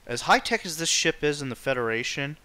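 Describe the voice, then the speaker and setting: A man's voice: fairly high pitch, sing-song, a little fast, pushed and bright, clear, resonant tone. A man giving casual commentary while playing through a video game. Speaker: game streamer.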